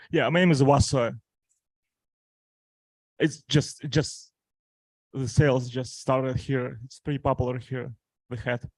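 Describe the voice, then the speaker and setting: A man asks a question from the audience, nervous and stumbling, restarting his words. His pitch is middling and the sound dry. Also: a little mellow, no echo, poor audio. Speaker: audience member asking a question.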